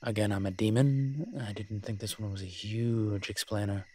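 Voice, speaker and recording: A man playing a proud, flirtatious incubus demon professor in an intimate audio roleplay, husky and teasing, with hesitant, drawn-out pauses. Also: fairly low pitch, steady tone, warm tone, lightly acted. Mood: wry, teasing.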